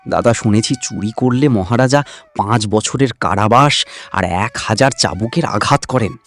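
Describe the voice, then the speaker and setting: A man's voice: on the low side, normal speed, fairly bright tone. A man playing a meek but clever younger brother in a village cartoon, deferential and plaintive. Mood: mock-innocent. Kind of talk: Bengali cartoon dubbing.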